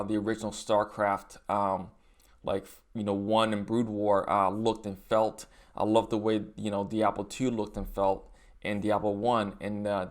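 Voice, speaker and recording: Lowish-voiced man rambling casually. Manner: rambling, halting, thinking aloud. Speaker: reaction video host. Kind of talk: casual video game commentary.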